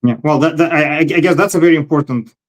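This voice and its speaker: A man's voice: fairly high pitch, fast. A man hosting a casual technical discussion, thinking aloud tentatively and repeating words as he goes. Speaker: host.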